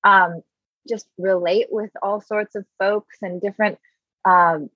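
A woman's voice: mid-range voice, steady tone, a little slow, no room sound. Woman in her thirties, interview guest, earnest and reflective, lots of "like", dry slightly muffled recording. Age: 30s. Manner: reflective, earnest, many "like" fillers. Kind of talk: casual interview chat.

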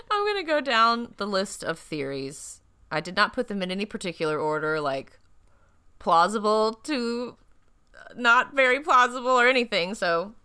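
A woman's voice: mid-range voice, big pitch swings, a little slow, sharp tone, smooth. A woman co-hosting a podcast in casual chat, playful and joking, laughing often, sounding a little tired. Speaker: podcast co-host.

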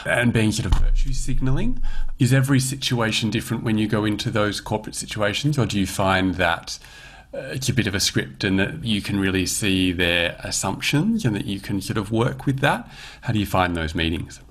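A man's voice: on the low side, a little fast, fairly bright tone. A man hosting an interview, asking long, winding questions in an appreciative way. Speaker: interviewer, host.

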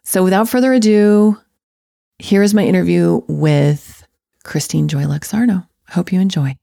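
A woman's voice: lowish voice, normal speed, vocal fry, mellow, no room sound, studio quality. A woman hosting an interview podcast, effusive and gushing over her guest in casual chat. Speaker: podcast host.

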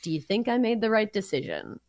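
A woman's voice: mid-range voice, brisk, no echo, studio quality. Southern woman talking sports bets. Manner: analytical, steady, frequent "uh" fillers. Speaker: sports betting podcast host. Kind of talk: casual sports betting chat.

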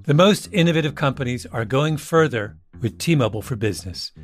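A man reading scripted advertisements as an announcer. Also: medium pitch. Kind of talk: scripted ad read.

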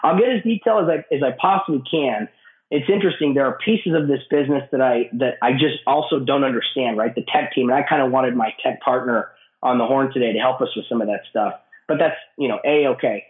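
A man with a cold, his voice raspy and hoarse, answering interview questions at length with many restarts. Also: mid-range voice, fast talker. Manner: explanatory, with frequent restarts and fillers. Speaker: guest, business owner. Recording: tinny phone-like line in an echoey room.

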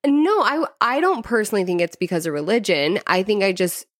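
A woman's voice: mid-range voice, very animated, brisk, no room sound, studio quality. A woman telling personal stories in casual conversation, informally, with "like" sprinkled through her sentences. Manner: informal, peppers speech with "like". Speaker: podcast co-host. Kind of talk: casual chat, personal storytelling.

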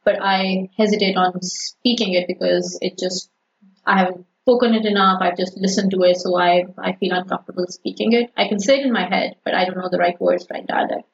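A woman from Pakistan whose first language is Urdu, chatting casually as a podcast guest and pausing often with fillers and restarts. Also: medium pitch, fairly even intonation, creaky. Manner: hesitant, many fillers and restarts. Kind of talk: casual conversation. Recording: dull lo-fi podcast audio.

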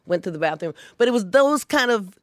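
A woman's voice: medium pitch, fairly quick, dry recording, studio quality. A woman guest telling a story in casual chat, acting out the voices of the people she quotes. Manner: animated, acts out quoted lines.

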